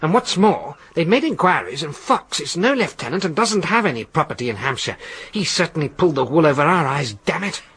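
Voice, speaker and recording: An actor playing a respectable, easily indignant British hotel manager with a husky voice in a radio drama. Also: male voice, on the high side, very animated, fairly quick, a bit bright, full character voice.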